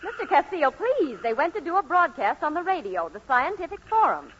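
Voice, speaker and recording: An actress playing a polite, easily flustered doctor's receptionist in a 1940s radio comedy. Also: female voice, high voice, very animated, brisk. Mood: flustered, pleading. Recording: muffled old radio, faint noise.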